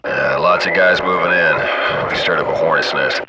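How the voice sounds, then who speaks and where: A man's voice: low voice, moderate pace, close to an everyday voice. An actor playing a laid-back, cocky military gunship crewman, chatting casually over the radio in a video game. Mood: cool, focused. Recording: distant military radio, loud aircraft cabin noise.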